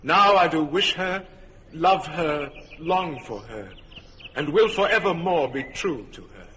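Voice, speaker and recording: An actor playing an earnest young lover who speaks heartfelt verse in a classic radio drama. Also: male voice, fairly high pitch, animated, unhurried, bright, forceful tone, big-room sound, slight background noise. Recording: muffled old radio recording.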